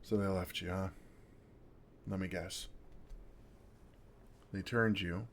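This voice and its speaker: A man playing a kind, wry older vampire in an intimate ASMR roleplay, talking in a gentle, casual, dryly sarcastic way. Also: low-pitched, fairly even intonation, takes it slowly, a little hiss or hum. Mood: wry, self-deprecating.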